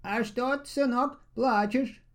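A man voicing a kindly grey-haired old man in a fairy tale, gentle, folksy and instructive. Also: very high-pitched, fairly even intonation, slow pace, voice pushed up. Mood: friendly concern. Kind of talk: storybook fairy-tale voice acting.

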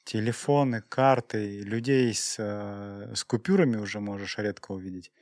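A man talking conversationally on a podcast, explaining and reasoning aloud in a measured way. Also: lowish voice, a little mellow, dry recording. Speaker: podcast co-host, IT professional. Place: podcast recording studio. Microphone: close studio microphone.

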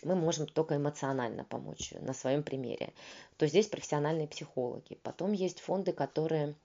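Very low-voiced woman on a dull recording. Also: mellow. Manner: earnest, explanatory, pauses to search for words. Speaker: peer consultant. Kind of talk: conversational interview answer.

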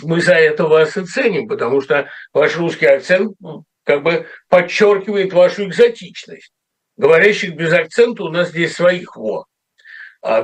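Wry rambling middle-aged man. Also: sits high. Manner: rambling, self-correcting, wry. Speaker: writer commenting on a radio show. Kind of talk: casual spoken monologue.

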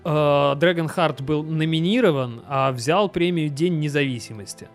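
Chatty young man, fairly high voice. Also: faint music behind. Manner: chatty, quick restarts, frequent fillers. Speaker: podcast co-host. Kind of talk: casual chat.

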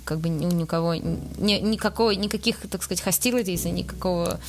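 A woman's voice: on the low side, a little fast. A woman from Russia giving thoughtful interview answers, often searching for words. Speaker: interview guest, museum worker.

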